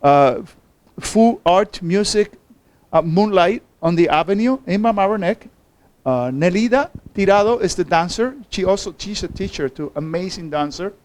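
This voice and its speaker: A man from Cuba with a Cuban accent informally presenting his own artwork in a halting, hesitant way. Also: high voice, animated, darkish tone. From Havana, Cuba. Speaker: artist.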